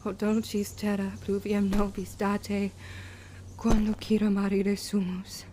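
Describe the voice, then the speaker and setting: Woman as a slow, solemn young witch. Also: medium pitch, monotone, mellow, slight background noise, natural voice. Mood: incantatory. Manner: measured, solemn, intense. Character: grim, resolute young witch telling her tale. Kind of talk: scripted first-person audio drama narration.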